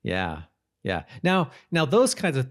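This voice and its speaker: A man co-hosting a podcast, casually discussing a TV episode in a halting way, repeating and rephrasing as he goes. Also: medium pitch, wildly swooping pitch. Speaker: podcast co-host.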